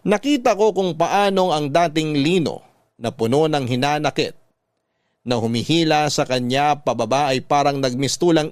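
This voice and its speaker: A man narrating a scripted letter in classic radio storytelling style, reflective and measured. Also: fairly high pitch, clear, resonant tone, no room sound, clean studio sound. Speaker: storyteller reading a listener's letter. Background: music.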